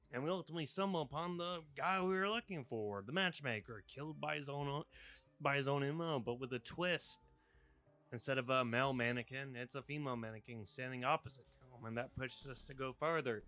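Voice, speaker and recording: A man's voice: mid-range voice, a bit bright. Rambling man with music underneath. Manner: rambling and conversational, with asides and self-mocking jokes. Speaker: video essayist. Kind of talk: casual game retrospective voice-over. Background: background music.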